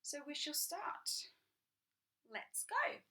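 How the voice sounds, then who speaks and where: A woman reading a picture book aloud to children, sing-song and animated, dropping into whispers for little asides. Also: sits high, drawn-out words. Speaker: children's storyteller.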